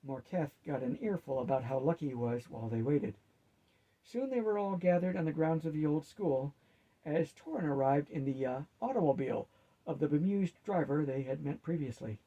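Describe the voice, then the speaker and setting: A man's voice: fairly high pitch, expressive, normal speed, warm tone, lo-fi sound. A man reading a fantasy story aloud as a narrator.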